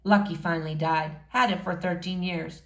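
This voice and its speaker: A woman's voice: fairly low pitch, steady tone, moderate pace, pitched below the performer's own voice, lightly acted. A woman voicing a jovial, folksy man, a book-loving mentor who teases and questions, in a storybook read-aloud. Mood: tired, teasing. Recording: roomy, echoing room sound.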